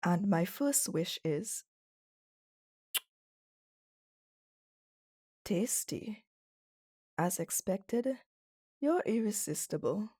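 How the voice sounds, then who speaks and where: A woman's voice: medium pitch, expressive, slow, dark tone, slightly rough. A woman playing a cold, dominant female CEO with a stern, condescending and flirtatious manner in an ASMR roleplay.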